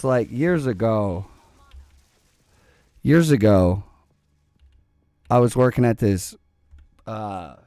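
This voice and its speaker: A young man with a low voice, streaming a game and chatting casually, rambling and cursing as he plays. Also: a little mellow, dry recording, studio quality. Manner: rambling, profane, joking, talks to chat and to the game. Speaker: gaming streamer. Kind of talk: casual gameplay commentary.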